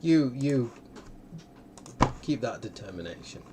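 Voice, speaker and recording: A man's voice: mid-range voice, very animated, takes it slowly, heavy background noise. A man hosting a casual livestream game, chatting in a hesitant, self-deprecating way. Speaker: livestream host. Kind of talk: casual banter during an online drawing game.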